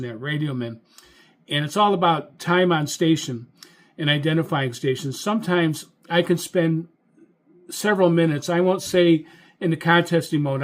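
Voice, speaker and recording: American male hobbyist host, slightly higher register, informal instructional delivery, halting and explanatory. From United States. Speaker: amateur radio operator and host.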